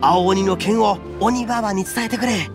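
A gravelly, cocky oni boss. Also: male voice, sits high, moderate pace. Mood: resigned. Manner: swaggering, rough-spoken, self-proud. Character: boastful brash young male oni gang leader. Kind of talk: game cutscene voice acting. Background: soft game music.

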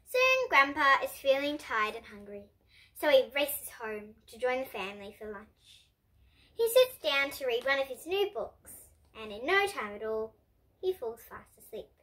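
A young girl reads a storybook to other children. Her voice is high and bright, and it rises and falls in a very animated way. She tells it expressively, with the odd stumble over a word. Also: unhurried, poor audio. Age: child, about 8 to 11. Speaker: host and reader of children's bedtime books. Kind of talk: reading a picture book aloud for children. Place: indoor room with bookshelves.

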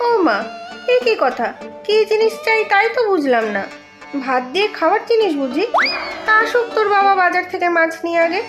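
Very high-pitched, puzzled cartoon mother. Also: expressive, brisk, over music. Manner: exclaiming, bewildered questions. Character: simple-minded, puzzled housewife and mother. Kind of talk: animated cartoon dialogue.

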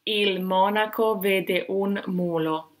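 A woman's voice: medium pitch, fairly even intonation, slow pace. A woman reading out scripted example sentences for a lesson. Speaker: reader of Italian example phrases.

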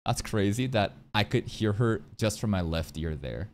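A young man doing excitable livestream reaction commentary, slangy and crude in his joking, speaking close to a boom-arm mic. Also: moderate pace, slight background noise. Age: young adult. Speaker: streamer reacting to a game trailer. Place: small indoor room. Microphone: boom-arm microphone up close.

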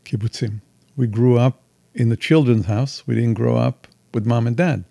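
A man's voice: mid-range voice, fairly even intonation, normal speed, vocal fry, mellow, no echo. A man in his sixties from Israel, telling stories candidly and at length as an interview guest. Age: 60s. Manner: expansive, candid storyteller. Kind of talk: casual interview chat.

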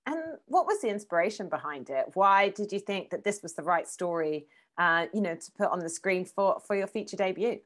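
A woman's voice: mid-range voice, animated, a little fast, a bit bright. A woman in her thirties asking conversational interview questions over a video call from a room at home.

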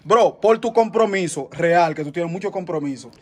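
A man with a Dominican Spanish accent interviewing a guest, friendly and chatty, with quick follow-up questions. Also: high voice. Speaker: interviewer, host. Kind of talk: casual interview.

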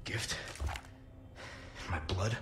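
An actor playing a sinister, solemn male cult leader in a game, slow and ominous. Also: over loud music.

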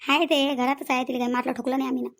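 A woman's voice: high voice, little pitch movement, very fast, creaky. A woman voicing an older village mother-in-law in a cartoon family drama, placating but defensive. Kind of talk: Marathi cartoon family comedy-drama acting.

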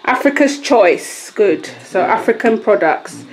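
A woman's voice: medium pitch, big pitch swings, unhurried, low-quality recording. A woman with a London accent chatting casually to a handheld camera as she vlogs. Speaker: vlogger. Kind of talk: casual vlog chat. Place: outdoors on a village path and at a small shop. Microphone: handheld camera at arm's length. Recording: clear outdoor and room noise.

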